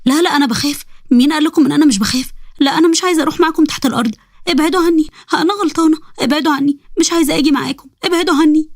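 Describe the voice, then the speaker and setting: A woman's voice: sits high, racing, no room sound, clean studio sound. A woman voicing a frightened young woman with an Egyptian accent who pleads in a panicky way. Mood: terrified. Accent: Egyptian Arabic.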